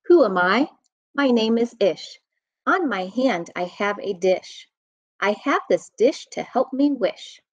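An American woman, around her thirties or forties, reading a rhyming children's book aloud in an expressive, sing-song way. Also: a little high, no echo. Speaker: children's storytime reader. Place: room at home. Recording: dull, muffled webcam audio.

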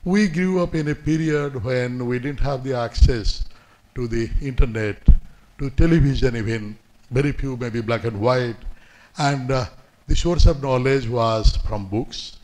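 A man's voice: medium pitch, a little mellow. Man with giving formal address. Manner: measured, formal, pauses often with "uh". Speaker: guest speaker. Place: indoor university seminar hall. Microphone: podium microphone.

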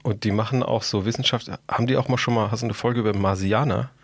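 Husky-voiced joking man, dry sound. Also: on the low side, fairly even intonation, fast talker, dry recording. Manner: joking, wry interjections. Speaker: podcast co-host. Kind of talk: casual bantering chat.

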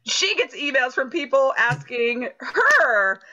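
A woman's voice: sits high, very animated, slow, bright, forceful tone. A woman chatting casually and enthusiastically as a member of a community theater panel. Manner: enthusiastic, effusive. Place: indoors on a video call. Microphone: computer mic on video call. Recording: dull, lo-fi video call audio.

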